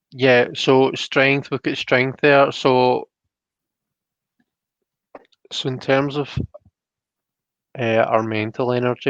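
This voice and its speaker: A man's voice: mid-range voice, vocal fry, dry recording, highs cut off. A Scottish man hosting a casual live tarot reading, chatty and hesitant, often chuckling as he reads out viewer comments.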